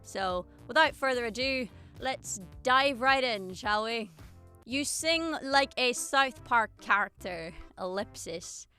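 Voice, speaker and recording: A woman's voice: a little high, animated, slow pace, pushed and bright, clear, resonant tone, soft background music. A woman in her twenties casually reading out comments and answering them in a sarcastic, self-mocking way. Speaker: singer and YouTuber.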